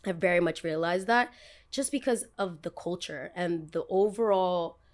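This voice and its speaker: A young woman with a New Jersey accent hosting a podcast, telling stories in an animated, emphatic way with quick asides. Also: fairly low pitch. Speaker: podcast host. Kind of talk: casual chat.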